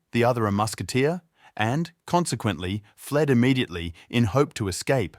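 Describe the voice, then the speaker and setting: A man's voice: fairly low pitch, dry recording, clean studio sound. A man reading a scripted fairy tale as a storyteller.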